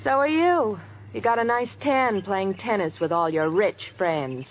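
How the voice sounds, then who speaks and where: A woman's voice: medium pitch, lively intonation, unhurried, close to an everyday voice. Woman playing coy, swooping estranged wife, noisy recording. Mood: coy, barbed. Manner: coy, teasing, barbed. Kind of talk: vintage radio drama acting. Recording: dull old recording, clear background noise.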